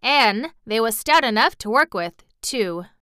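A woman's voice: a little high, lively intonation, unhurried, pushed and bright, clean tone, no room sound, studio quality. A woman doing a chatty housemaid. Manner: broad dialect, eager and chatty. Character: cheerful young Yorkshire housemaid, homely and talkative.

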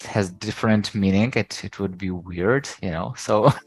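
A woman's voice: a bass voice, unhurried, a little mellow, husky. A woman whose first language is Ukrainian, hosting an interview and asking short, curious questions. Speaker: host.

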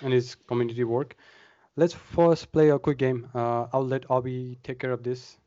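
A man's voice: mid-range voice, steady tone, normal speed, dark tone, no room sound. Man hosting, dry dull podcast sound. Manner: friendly, steering questions with fillers. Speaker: podcast host. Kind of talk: casual podcast interview.

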